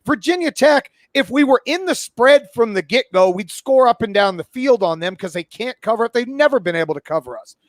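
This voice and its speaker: A man's voice: extremely high pitch, lively intonation, fairly quick, few pauses, dry recording, studio quality. A man in his forties talking sports casually as a pundit, blunt and opinionated, swearing freely. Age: 40s. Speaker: college football pundit and podcast guest.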